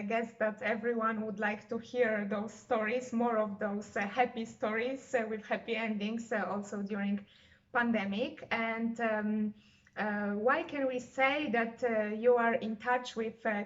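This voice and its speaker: A woman's voice: fairly high pitch, fairly even intonation, measured pace. A woman from Poland, hosting an online interview, speaking hesitantly with many fillers and restarts. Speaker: interviewer and channel host. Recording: dull lo-fi video call audio.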